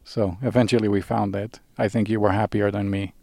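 A calm, easygoing man from Israel chatting casually outdoors at a campsite, recorded on a clip-on mic. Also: lowish voice, a little fast, creaky. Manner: calm, easygoing, short answers. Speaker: hiking partner, cousin. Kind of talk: casual conversation. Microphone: clip-on mics.

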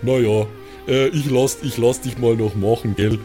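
A man's voice: medium pitch, steady tone, normal speed, dark tone, soft background music. A man chatting casually as a player in an improvised tabletop role-playing show. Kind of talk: casual improvised game chat.

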